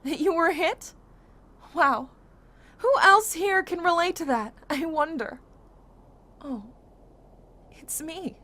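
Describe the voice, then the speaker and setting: A woman's voice: very high-pitched, lively intonation, slow, pauses often, bright, forceful tone, heavily acted. A woman playing a guarded, guilt-ridden young survivor in an amateur zombie apocalypse audio drama. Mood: exasperated, scolding.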